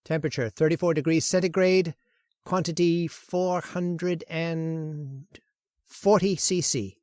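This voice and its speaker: A woman's voice: low-pitched, fairly even intonation, slow pace, mellow, dry recording, studio quality. A woman voicing a manic, arrogant male scientist in a radio horror play, bullying his assistant and gloating in frantic outbursts. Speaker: voice actor. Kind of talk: old-time radio drama acting.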